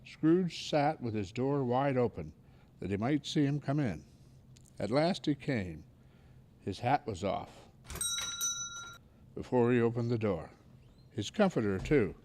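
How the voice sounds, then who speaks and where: Radio play narrator, male actor: mid-pitched, lively intonation, wry storytelling in deliberate short phrases. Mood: wry, anticipatory.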